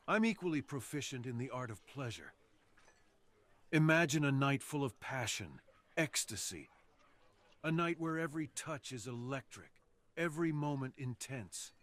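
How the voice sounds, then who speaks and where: A man voicing a brooding, seductive fox assassin in an intimate ASMR roleplay, speaking slowly and earnestly with dramatic pauses. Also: medium pitch, a bit bright, close to an everyday voice. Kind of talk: ASMR roleplay, slow intimate scripted monologue.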